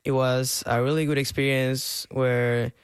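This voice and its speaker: Smooth young man with a Peruvian accent. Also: medium pitch, flat delivery, unhurried, dry recording, studio quality. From Peru. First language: Spanish. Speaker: interview guest, clarinet student. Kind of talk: casual interview answers.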